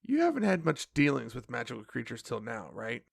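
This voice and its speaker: Actor as fussy magical companion, gravelly fry, swooping intonation, wary, nervous, admonishing, audio drama. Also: mid-range voice, huge pitch swings, brisk, dry recording, studio quality, exaggerated voice. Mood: cautious, instructive.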